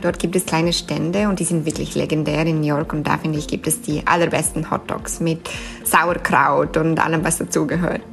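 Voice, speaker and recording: A Swiss woman with a Swiss German accent, answering interview questions thoughtfully, often pausing with fillers and restarting sentences. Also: sits low, fairly even intonation, gravelly, faint music behind. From Switzerland. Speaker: interview guest.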